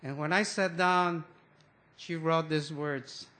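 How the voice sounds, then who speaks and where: Older man, fairly high voice, slow, halting, restarts often, church talk. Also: steady tone, bright, forceful tone. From Mexico. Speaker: guest church speaker. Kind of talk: anecdotal sermon talk.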